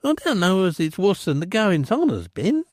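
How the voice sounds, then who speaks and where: A tart, matronly village woman with a high, lively voice, as a male reader does her. She scolds and questions sharply. The sound is close and dry. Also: moderate pace, no room sound.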